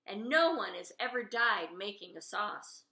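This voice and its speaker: A woman's voice: mid-range voice, lively intonation, unhurried, bright, forceful tone. A woman voicing an argumentative, incredulous male fisherman, a diner regular in a storybook. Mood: indignant. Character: gruff, combative fishermen regulars, men in a diner. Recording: roomy, echoey room sound.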